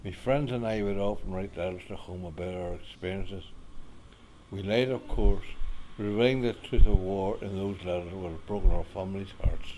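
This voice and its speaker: A man playing an elderly war veteran grandfather in a radio drama, sombre and bitter, speaking in a grave, reflective, deliberate way. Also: sits low, steady tone, mellow, a little hiss or hum, natural voice, low-quality recording. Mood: grim. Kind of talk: amateur radio drama monologue.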